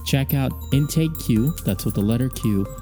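Playful man with a mellow voice. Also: medium pitch, lively intonation, moderate pace, faint music behind. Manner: playful, curious. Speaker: interviewer and ad reader. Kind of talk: casual interview and ad read.

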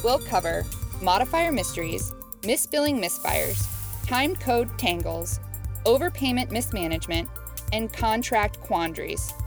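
A woman delivers a scripted ad read in a crisp, promotional voice at a mid pitch. Faint music sits underneath. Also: lively intonation, a little slow. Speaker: announcer for a webinar ad.

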